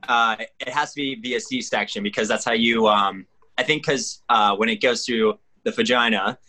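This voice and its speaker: A man's voice: mid-range voice, normal speed, bright, forceful tone. A man in his twenties, a podcast guest, explaining things in a rambling way full of fillers. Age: 20s. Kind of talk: casual chat.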